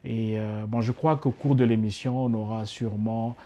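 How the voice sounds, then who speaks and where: A man's voice: on the low side, steady tone, moderate pace, mellow, dull audio, a little hiss or hum. A middle-aged man from Congo, a guest in a casual interview, speaking with many fillers and restarts. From Democratic Republic of Congo.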